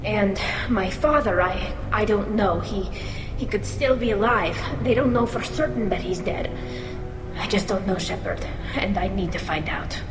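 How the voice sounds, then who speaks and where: A woman's voice: mid-range voice, unhurried, natural voice. An actress playing an earnest, loyal young female alien engineer in a game cutscene. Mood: shaken. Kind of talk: video game cutscene dialogue. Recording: echoey game mix with music.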